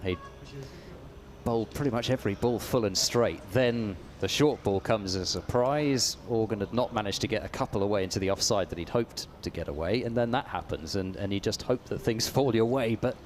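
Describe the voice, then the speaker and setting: A man's voice: on the low side, a little fast, a little hiss or hum. A man doing ball-by-ball radio cricket commentary, hesitant and stumbling over repeated words, with his voice climbing when a shot is played. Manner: rises sharply when the action picks up.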